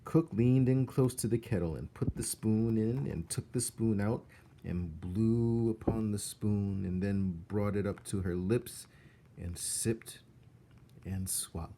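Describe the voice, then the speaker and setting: A man's voice: on the low side, takes it slowly, dark tone, a little hiss or hum. A man reading a children's book aloud as narrator, expressively, with dramatic emphasis on key words.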